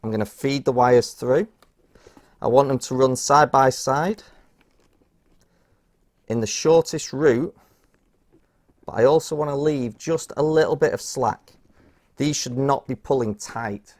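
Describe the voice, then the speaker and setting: A man's voice: medium pitch, measured pace, pauses often. A man talking through a hands-on task step by step in an informal, practical, instructional way. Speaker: presenter of a how-to product guide. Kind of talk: hands-on step-by-step tutorial narration.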